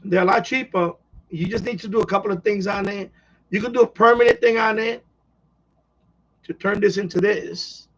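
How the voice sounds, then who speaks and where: A man's voice: high-pitched, steady tone, normal speed, pauses often, fairly bright tone, poor audio. A man hosting a hobby live stream, chatting casually in a rambling way and often repeating himself.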